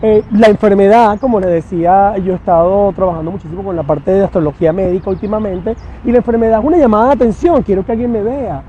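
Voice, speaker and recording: A man speaks as a podcast guest, unhurried and thoughtful, often checking in with the listener. His voice is warm and dark. The recording is dull and lo-fi with a faint hiss underneath. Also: high voice. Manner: reflective, explains slowly with many "¿verdad?" tags. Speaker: guest therapist and astrologer. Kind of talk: podcast interview. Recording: dull lo-fi sound with faint noise.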